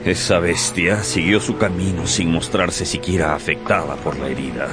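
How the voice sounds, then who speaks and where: An actor playing a haunted, superstitious whaling captain in a radio drama, speaking with dramatic emphasis. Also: male voice, deep voice, fairly even intonation, normal speed, lightly acted. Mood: awed, bitter. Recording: radio drama with music behind.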